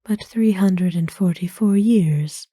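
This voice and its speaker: Casting: woman as ancient vampire noblewoman for ASMR roleplay, very dark soft tone, breathy whisper, kind and formal, unhurried. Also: medium pitch, no room sound. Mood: curious, soft. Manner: formal, slow, tender, hesitant pauses. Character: kind, courtly centuries-old female vampire owner, gently doting. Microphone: close dry microphone.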